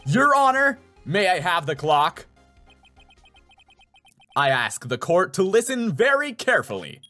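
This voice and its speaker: A man performs an earnest young defense lawyer from a courtroom video game. He speaks emphatically and theatrically, with a widely swinging pitch and deliberate pacing, over faint music. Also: huge pitch swings, pushed and bright, heavily acted. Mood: confident, pressing. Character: earnest, excitable young male defense attorney. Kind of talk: dramatic video game character dub.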